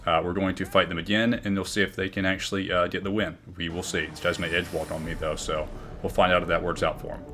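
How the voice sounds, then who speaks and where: A man with a booming voice talking earnestly about his gaming channel, often reflective, with frequent fillers and restarts. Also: little pitch movement, quick pace, sharp tone, a little hiss or hum. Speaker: gaming YouTuber. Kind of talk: game commentary and channel talk.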